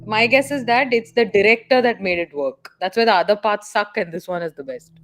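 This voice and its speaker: A woman's voice: mid-range voice, brisk, a bit bright, no echo. A woman co-hosting a casual livestream film chat in a direct, opinionated way. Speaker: co-host of a horror discussion livestream. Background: music bed under the voice.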